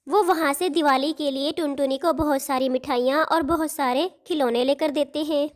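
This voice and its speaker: A woman narrator reading a scripted cartoon story for children. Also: sits high.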